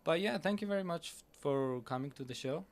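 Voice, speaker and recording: A man's voice: on the high side, animated, brisk. A young man hosting a casual podcast interview at a conference, enthusiastic, with many fillers and restarts. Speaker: podcast host. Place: busy conference hall.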